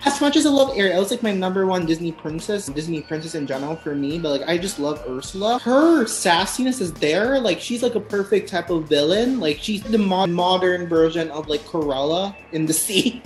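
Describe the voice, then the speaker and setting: A woman's voice: lowish voice, lively intonation, fairly quick, few pauses, faint music behind. Chatty woman host in an echoey room. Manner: chatty, lots of "like" fillers, laughs easily. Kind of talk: casual chat.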